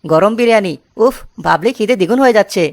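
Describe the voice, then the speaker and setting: A woman's voice: medium pitch, expressive, fast, close to an everyday voice. A woman voicing a lazy, gluttonous young village man in a cartoon story, whining and carefree. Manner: whining, carefree, dreamy about food.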